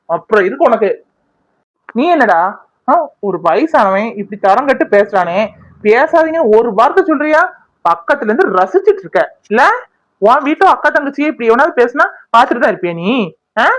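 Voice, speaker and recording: A woman's voice: medium pitch, lively intonation, fast talker, fairly bright tone, poor audio. A woman acting a fierce, scolding village woman in a drama, with a confrontational, threatening manner. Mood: angry scolding. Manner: confrontational, threatening, rhetorical questions.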